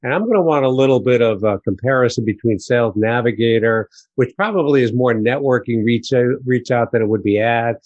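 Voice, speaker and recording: A man's voice: medium pitch, a little fast, hardly any pauses, a little mellow, no room sound. A man hosting a conversational business interview, asking questions over slightly lo-fi audio. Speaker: interviewer, host.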